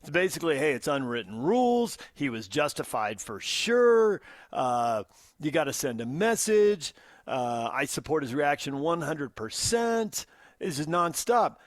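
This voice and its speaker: A man's voice: a little high, big pitch swings, measured pace, no echo, studio quality. An American man co-hosting a sports talk radio show, bantering and reading out comments in punchy, emphatic short phrases. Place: radio studio. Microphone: close studio microphone.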